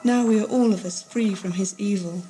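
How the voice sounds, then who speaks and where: A woman's voice: medium pitch, brisk, dark tone, a little hiss or hum, close to an everyday voice, rough recording. A woman playing a repentant but assertive former henchwoman in an audio drama, speaking earnestly. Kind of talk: 1980s amateur audio drama acting.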